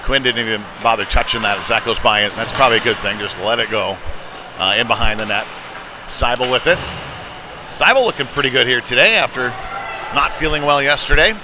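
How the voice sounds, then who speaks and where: Male hockey commentator over clear rink noise. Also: mid-range voice, a little fast. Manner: clipped running descriptions in short fragments. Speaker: play-by-play commentator. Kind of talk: live youth hockey commentary. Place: live at an ice hockey venue.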